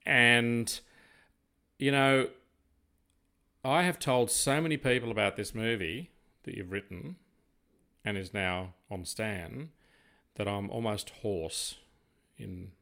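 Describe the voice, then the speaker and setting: Older man, Australian accent, creaky, fairly low pitch, podcast co-host, rambling, deliberate. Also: pushed and bright. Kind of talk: casual podcast chat.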